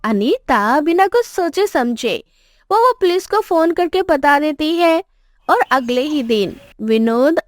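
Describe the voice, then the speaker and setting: A woman's voice: sits high, no echo, studio quality. A woman narrating a scripted dramatic moral story as its storyteller.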